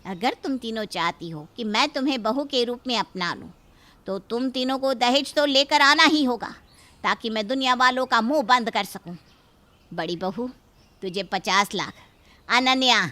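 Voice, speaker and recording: A woman's voice: on the high side, sharp tone. A woman playing a domineering, greedy middle-aged mother-in-law in a cartoon story, haughty and threatening as she scolds. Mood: stern, demanding. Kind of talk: Hindi cartoon story acting.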